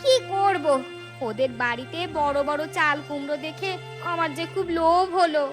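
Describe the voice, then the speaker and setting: Woman acting high-voiced, greedy, bossy village wife. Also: moderate pace, natural voice. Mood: sheepish. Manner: bossy, scolding. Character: greedy, scheming village wife, scolding and bold. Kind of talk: cartoon folk-tale acting. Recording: clear music bed. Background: music.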